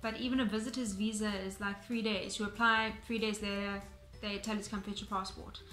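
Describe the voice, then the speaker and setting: A woman's voice: mid-range voice, flat delivery, a little fast, a bit bright, echoey room. A young South African woman in her twenties, chatting to camera in a casual, explanatory way with frequent ums and restarts. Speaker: vlogger. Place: bright room indoors, seated on sofa.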